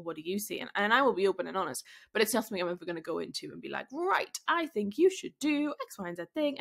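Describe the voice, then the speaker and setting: A woman hosting a solo business podcast, speaking candidly with a croaky, husky voice from being unwell, thinking aloud with fillers and restarts. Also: medium pitch, a bit bright. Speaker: podcast host.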